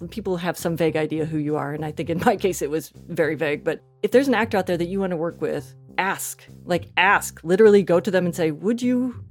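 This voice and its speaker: A woman with a slightly low-pitched voice talks casually as a podcast guest. She gushes about colleagues and pokes fun at herself, playing out small bits of dialogue as she goes. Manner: effusive, self-deprecating, animated storytelling with acted-out asides. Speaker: interview guest, podcast writer and voice actor. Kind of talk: casual interview chat.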